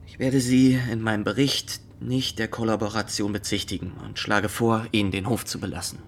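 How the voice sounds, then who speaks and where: A man playing an earnest young fox inspector in a radio drama, speaking in a stiff, formal and deliberate way. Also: lowish voice, fairly even intonation, slight background noise, close to an everyday voice. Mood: earnest, firm. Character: rigid, rule-bound young fox inspector, official and earnest.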